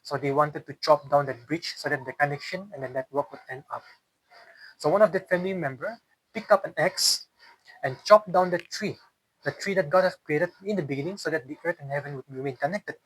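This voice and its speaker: A man's voice: fairly high pitch, fairly quick, a bit bright, breathy edge, no room sound, poor audio. A man with a Northeast Indian accent telling a story in an interview, explaining as he goes and often repeating and rephrasing his phrases. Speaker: interviewee telling a folk tale. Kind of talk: unscripted oral storytelling in an interview.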